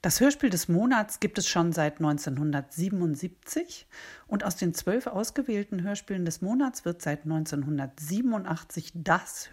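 Low-pitched, husky woman giving a greeting. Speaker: award coordinator giving a greeting. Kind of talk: scripted greeting message.

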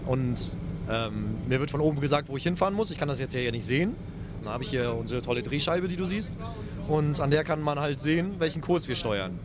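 A man's voice: medium pitch, lively intonation, brisk, noisy background. A man, a submarine helmsman, casually explaining his job in an on-site interview. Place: inside a submarine.

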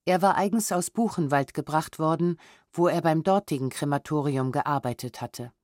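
A woman's voice: sits low, normal speed, a little mellow, no echo. A woman narrating a scripted documentary audiobook in a formal, factual way, close to a studio microphone. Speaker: narrator announcing and reading documents.

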